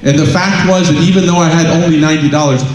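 A man's voice: on the high side, steady tone, fast talker. A middle-aged man on a stage giving a conference keynote, telling stories and asking rhetorical questions into a headset mic. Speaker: keynote speaker. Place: large conference hall on a stage. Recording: very echoey hall.

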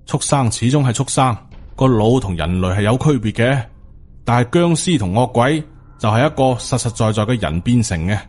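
A man's voice: medium pitch, lively intonation, normal speed, a little mellow, husky, faint music behind. A man with a Hong Kong Cantonese accent voicing a grim, short-tempered, protective undead Taoist master in an audio storybook, speaking with stern emphasis. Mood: stern warning.

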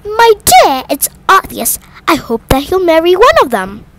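A woman voicing an excitable, nervous mother mouse in a storybook, with shrill, dramatic exclamations. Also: normal speed, very noisy, exaggerated voice. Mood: bossy.

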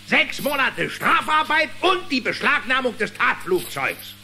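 An actor playing a pompous, greedy small-town sheriff who acts as judge in a children's cartoon radio play. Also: male voice, very high-pitched, big pitch swings, measured pace, bright, forceful tone, full character voice. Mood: bossy, pompous. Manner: pompous, domineering. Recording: echoey hall reverb, faint music.

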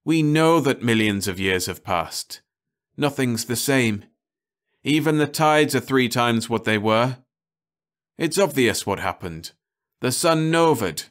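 A man's voice: mid-range voice, pitched above the performer's own voice, natural voice. Man putting on a bitter, despairing starship passenger. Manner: loud, raised, anguished outbursts.

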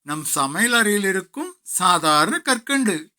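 A man's voice: high-pitched, very animated, slow pace, bright, forceful tone, exaggerated voice. A man voicing a shrewd, witty court jester with a confident, explanatory manner. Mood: knowing, explaining.

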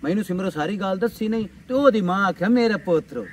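A man's voice: high voice, expressive, fast talker. A young man with a rural Pakistani Punjabi accent playing a taunting, boastful rival in love in a village comedy. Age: young adult. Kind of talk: village comedy drama acting. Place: outdoors in open grassy field. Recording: distant outdoor sound, faint ambient noise.

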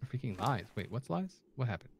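A man's voice: medium pitch, very animated, a little fast, vocal fry, a little hiss or hum. A man streaming a co-op survival game, chatting casually with jokey outbursts and laughs. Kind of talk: casual co-op gaming chat.

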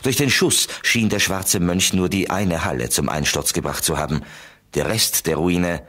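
A man's voice: low-pitched, normal speed, a bit bright. A man narrating an audio drama as a scripted storyteller.

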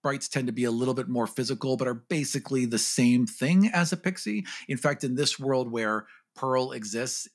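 A man's voice: mid-range voice, normal speed, few pauses. A man co-hosting a podcast, either reading a script or chatting about games. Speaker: podcast co-host. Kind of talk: scripted reading and casual discussion.

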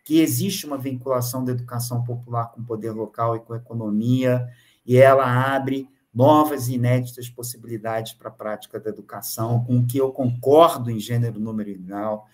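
Older man, online lecturer, middle-pitched, hesitant and filler-heavy, webcam audio. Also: steady tone, a little fast. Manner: hesitant, many filler sounds and restarts. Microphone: video call webcam.

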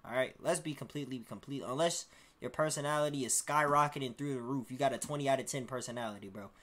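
A man's voice: medium pitch, fast talker, fairly bright tone. A young man in a small bedroom talking into a headset, reacting to a video in slangy casual chat. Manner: slangy, repeats "you feel me, bro".

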